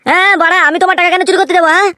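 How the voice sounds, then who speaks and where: A woman voicing a cheeky, scheming little boy in a comic cartoon, with a pushy, whiny, quick-fire way of talking. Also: extremely high pitch, steady tone, pushed and bright. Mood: indignant protest.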